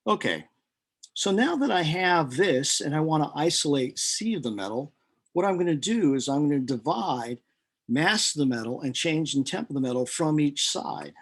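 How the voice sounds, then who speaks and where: A man teaches in a slightly high voice with a husky edge. He explains things step by step as a chemistry instructor would, in an informal but deliberate way. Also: lively intonation. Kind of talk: lecture working through equations step by step.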